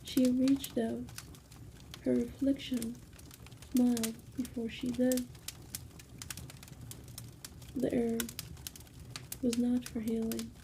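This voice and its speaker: A woman tells a dark bedtime tale in a hushed ASMR style, extremely slowly and with dramatic pauses. Her voice is highish and crackly, over clearly audible ambient noise. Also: level tone. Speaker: storyteller. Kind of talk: whispered ASMR bedtime story narration.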